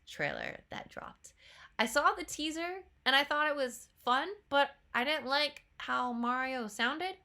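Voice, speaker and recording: A woman's voice: a little high, bright, forceful tone. A young woman with a stuffy nose from a cold, chatting bluntly as a YouTuber reacting to a trailer. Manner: chatty, blunt, swears casually. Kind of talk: casual chat.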